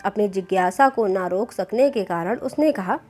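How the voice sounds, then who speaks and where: A woman's voice: medium pitch, moderate pace, a little mellow. A woman reading a scripted moral story as a storyteller. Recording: clear background music bed. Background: music.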